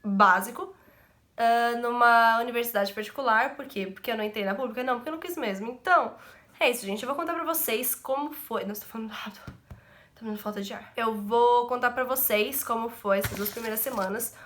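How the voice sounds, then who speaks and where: A seventeen-year-old woman talks to camera from her bedroom, stuffy and nasal from a cold. Her voice sits a little high, and she rambles in a chatty, self-mocking way. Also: brisk, a bit bright, slight background noise. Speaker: vlogger. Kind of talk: casual chat to camera.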